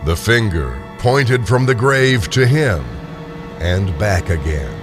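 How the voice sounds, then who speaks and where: Slow, swooping male storyteller. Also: lowish voice, music in the background. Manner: theatrical, expressive. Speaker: narrator. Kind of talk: dramatic scripted storytelling.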